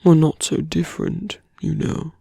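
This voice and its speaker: A performer voicing a suave, menacing male villain in an intimate ASMR roleplay, slow and teasing, with a breathy, gravelly voice that often sinks to a near-whisper. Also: mid-range voice, little pitch movement, warm tone, no echo, clean studio sound, full character voice. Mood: persuasive, condescending. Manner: slow, deliberate, teasing, condescending.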